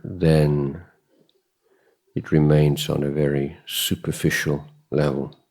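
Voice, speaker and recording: A man giving a guided meditation talk as a Buddhist teacher, contemplative and measured, pausing often between short phrases. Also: rumbling low, little pitch movement, a little mellow.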